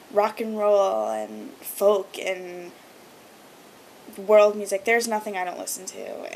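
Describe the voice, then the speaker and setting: A young woman whose first language is Hebrew talks to her webcam in a gushing, rambling way, full of fillers. Her voice sits in the middle range. A faint low rumble sits under the recording. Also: darkish tone, rough recording. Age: young adult. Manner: chatty, gushing, lots of fillers. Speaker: vlogger. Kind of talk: casual chat to camera.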